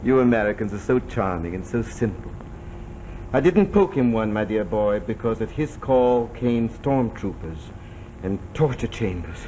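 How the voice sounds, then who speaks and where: An actor in a 1940s television drama playing a courtly, melancholy aristocrat who speaks formally and hesitantly, with condescending politeness. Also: male voice, fairly low pitch, lively intonation, moderate pace, dark tone, a little hiss or hum, lightly acted, poor audio. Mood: amused, then grim. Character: courtly, melancholy old-world aristocrat telling of past wrongs. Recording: old 1949 broadcast with music behind.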